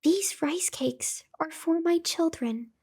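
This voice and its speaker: A gentle, caring storybook mother, voiced by a woman reader. She speaks high and slowly, cautioning tenderly. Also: full character voice. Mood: hesitant. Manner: gentle, drawn-out warning. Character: gentle, caring mother of two young children. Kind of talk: bedtime folktale storybook reading.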